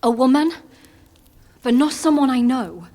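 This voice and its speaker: A woman playing a haunted, higher-voiced young woman. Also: expressive, a little slow, mellow. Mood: uneasy, wistful. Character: haunted, distracted young woman, gently brushing off worry. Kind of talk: audio drama acting.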